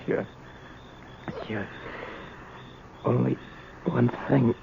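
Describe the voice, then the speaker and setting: An American actor playing a weak, dying old crook in a radio drama, with rough streetwise speech, halting delivery and a gravelly voice. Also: male voice, mid-range voice, steady tone, exaggerated voice. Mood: weak, tender. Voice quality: gravelly, weakened. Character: bitter, dying old crook, regretful father. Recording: noisy, narrow-band old radio broadcast.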